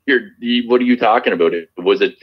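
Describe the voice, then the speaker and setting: A man's voice: mid-range voice, a little fast, dull audio. A man with a Canadian accent arguing heatedly, accusing and cutting in over the other speaker. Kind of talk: heated online argument.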